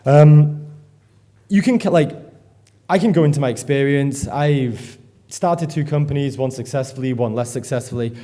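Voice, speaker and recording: A man gives a live conference talk. His voice is mellow and somewhat dark. He rambles, pokes fun at himself and swears casually as he goes. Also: medium pitch, normal speed. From England. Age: 30s. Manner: swears freely, rambling and self-deprecating. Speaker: conference speaker. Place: conference stage before an audience.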